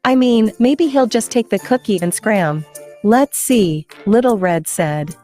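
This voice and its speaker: Mellow woman telling kids a story. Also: mid-range voice, animated, unhurried, soft background music. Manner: playful, conversational, addresses children directly. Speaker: storyteller and children's host. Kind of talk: interactive children's story narration.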